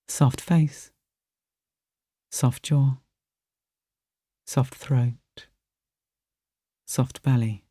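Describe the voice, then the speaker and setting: Gentle soothing guided meditation voice, mellow and dark, super dry recording, medium pitch. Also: no echo. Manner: gentle, soothing, unhurried phrasing with soft delivery.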